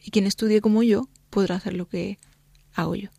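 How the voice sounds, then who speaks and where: Spanish pianist with a faint Italian lilt. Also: female voice, medium pitch, steady tone, a little fast, creaky, warm tone, slightly rough, no room sound, clean studio sound. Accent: slight Italian lilt on Spanish. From Spain. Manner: thoughtful, explaining with many fillers and "¿no?". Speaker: guest pianist. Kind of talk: radio interview chat. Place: radio studio.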